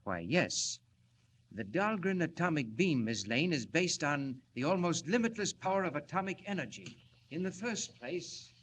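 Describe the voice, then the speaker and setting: An actor playing an earnest, secretive scientist who explains formally and deliberately in 1940s radio style. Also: male voice, a little high, sing-song, noisy background. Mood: proud, grave. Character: earnest, proud, secretive male scientist inventor.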